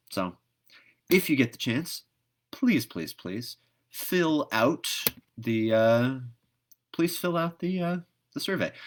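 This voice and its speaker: A middle-aged man hosting a read-aloud livestream, chatting casually with viewers in a halting, thoughtful, conversational way. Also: medium pitch.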